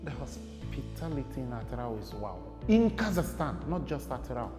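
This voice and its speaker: A man's voice: medium pitch, big pitch swings, normal speed, music in the background. A young Nigerian man, a guest in an interview, talking casually and rambling with many fillers. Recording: echoey room with long reverb.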